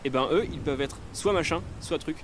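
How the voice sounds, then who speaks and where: Man playing a fast, high-voiced exasperated young traveler. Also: slight background noise, lightly acted. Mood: patient explaining. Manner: sarcastic, long-suffering explaining. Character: exasperated, sarcastic young male space traveler explaining things. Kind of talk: French audio drama acting.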